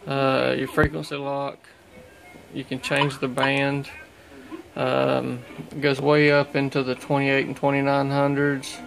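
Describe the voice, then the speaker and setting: A man walks through his equipment in a casual, unscripted way. His delivery is slow and halting, with a very flat pitch. There is a gravelly creak in his plain-spoken voice. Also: rough recording. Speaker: radio hobbyist showing his equipment. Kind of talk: casual unscripted gear review. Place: small indoor room at home.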